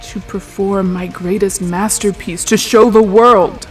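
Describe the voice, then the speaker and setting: A performer playing an obsessive, secretive, wounded male genius in a hushed, intense, halting manner for a tabletop roleplay. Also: medium pitch, fairly even intonation, soft background music, natural voice. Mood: strained, intense.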